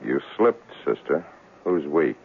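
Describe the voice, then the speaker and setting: An actor playing a tough, cocky private investigator in a 1970s radio drama, talking in clipped slang. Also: male voice, rumbling low, very animated, slow, gravelly, breathy edge, exaggerated voice. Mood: tough, curt. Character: tough hard-boiled male private investigator, cynical and cocky. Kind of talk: 1970s radio drama acting, noir narration. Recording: muffled old radio broadcast.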